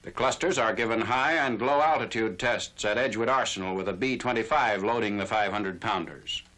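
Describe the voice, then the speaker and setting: A man's voice: lowish voice, brisk, bright, forceful tone. A man narrating a 1940s military newsreel in a formal, factual announcer style. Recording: noisy vintage 1940s newsreel soundtrack.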